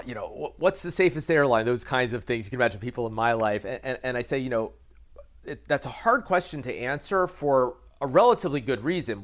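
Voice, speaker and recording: An American man speaking as an expert guest in an interview, explaining haltingly with repeated words and careful qualifications. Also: mid-range voice, a bit bright. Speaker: expert guest, aviation reporter. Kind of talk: conversational interview analysis.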